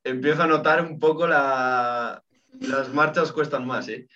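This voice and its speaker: A man's voice: mid-range voice, fairly even intonation, measured pace, bright, forceful tone, slight background noise. Young man in his twenties, lo-fi. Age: 20s. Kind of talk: casual conversation.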